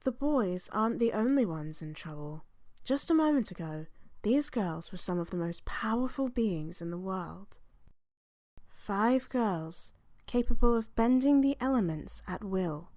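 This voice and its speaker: A woman playing a grave storyteller, narrating a scripted fantasy tale in a deliberate, suspenseful way. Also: mid-range voice, animated, a little mellow, dry recording, studio quality, lightly acted. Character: grave, measured female storyteller.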